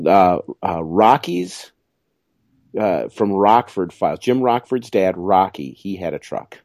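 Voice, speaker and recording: Middle-aged man for a podcast co-host: low-ish, dry close mic, rambling self-mocking humor. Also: big pitch swings, slow pace, vocal fry, a little mellow, no echo. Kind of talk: casual chat.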